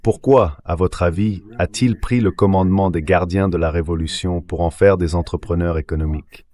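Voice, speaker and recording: A man's voice: low-pitched, normal speed, warm tone. A man reading a translated voice-over of political commentary, speaking assertively and emphatically, often with rhetorical questions. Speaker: interview guest, political commentator.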